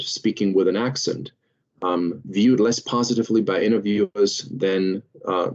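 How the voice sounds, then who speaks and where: Lecturer: man, German accent, low voice, unhurried with frequent fillers, muffled webinar audio. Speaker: lecturer, series host. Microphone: video call.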